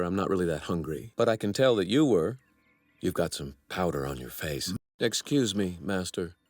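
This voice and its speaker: An arrogant young apprentice deity from an anime dub. His phrasing is formal and exact, his politeness edged with righteous menace. The pitch travels widely, and the sound is very dry. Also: no room sound. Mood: deferential. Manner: formal, haughty, precisely worded.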